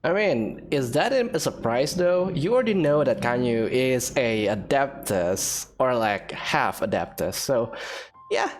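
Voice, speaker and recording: A woman's voice: very deep voice, lively intonation, unhurried. A woman giving casual, offhand let's play commentary as host of a gaming video, a little hesitant. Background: game music.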